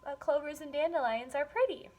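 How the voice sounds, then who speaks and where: A woman's voice: sits high, brisk, darkish tone, pitched above the performer's own voice, close to an everyday voice. A woman reading the part of an eager, insistent little girl in a storybook, pleading and questioning.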